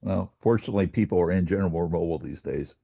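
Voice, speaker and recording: A man's voice: sits low, racing, gravelly, dark tone, dry recording, studio quality. A man in a casual group chat on a video call, mostly giving short agreeing replies and now and then a mild correction. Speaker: participant in a technical session.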